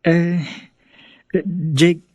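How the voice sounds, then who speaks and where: A man's voice: fairly high pitch, level tone, extremely slow, a little mellow, no room sound, clean studio sound. A man playing a humble, bashful young man in a Filipino radio drama. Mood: flustered. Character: humble, bashful poor young man striving for his family.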